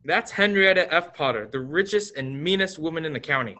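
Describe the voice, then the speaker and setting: Young man acting a lively storytelling narrator. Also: on the high side, fairly quick. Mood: narrating. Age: young adult. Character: story-telling guide, recounting a life fondly. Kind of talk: scripted radio play narration.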